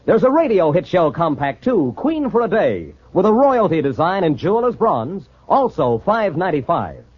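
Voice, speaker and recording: A man reading an upbeat sales pitch as an announcer in a mid-century radio advertisement. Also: very animated, moderate pace, breathy edge. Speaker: commercial announcer. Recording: dull old radio recording.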